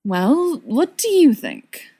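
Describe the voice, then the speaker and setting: A woman's voice: sits high, huge pitch swings, slow, warm tone, slightly rough, pitched above the performer's own voice, exaggerated voice. A woman voicing a young male wizard extremist in an audiobook, earnest and persuasive with a bitter edge. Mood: rueful, probing.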